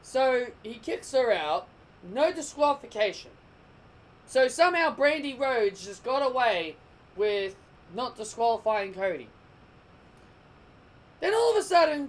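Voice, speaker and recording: A man giving a casual, rambling wrestling review, often restarting his sentences, his voice croaking. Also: very high-pitched, rough recording. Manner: rambling, restarts and corrects himself.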